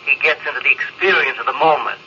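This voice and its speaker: A man's voice: medium pitch, fast talker, lo-fi sound. A man playing a wistful, philosophical astronaut in an old radio drama, as if over a spacesuit radio link. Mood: wry, reflective. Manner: reflective, awestruck. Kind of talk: 1950s radio drama acting. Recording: thin, hissy old radio recording.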